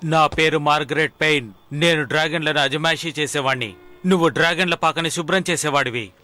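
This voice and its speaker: A man voicing an arrogant young villain's son in a dubbed animated series, haughty and contemptuous. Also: on the high side, brisk, slight background noise. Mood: haughty, scornful. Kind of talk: animated series dub acting.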